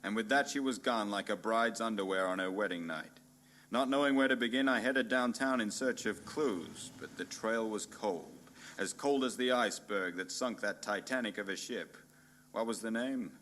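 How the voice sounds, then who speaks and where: A man playing a hard-boiled, pompous and bumbling private detective in a noir radio play parody, narrating in a deadpan, melodramatic way. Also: on the low side, moderate pace, a little hiss or hum, close to an everyday voice. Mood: deadpan world-weary.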